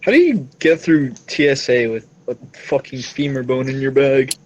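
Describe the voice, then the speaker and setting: A man's voice: medium pitch, lively intonation, moderate pace, highs cut off. A man playing a tabletop game, chatting casually and joking with the group.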